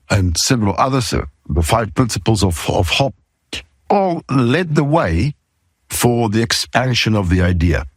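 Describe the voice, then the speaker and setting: South african guy hosting a podcast, really animated pitch, bit raspy, candid and emphatic. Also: no echo, clean studio sound. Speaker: podcast host, safety consultant. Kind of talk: informal talk to camera introducing a podcast.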